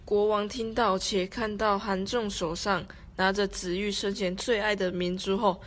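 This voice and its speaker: A woman's voice: medium pitch, flat delivery, normal speed. A woman with a Taiwanese Mandarin accent reading scripted narration for an amateur radio drama. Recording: background music under the voice.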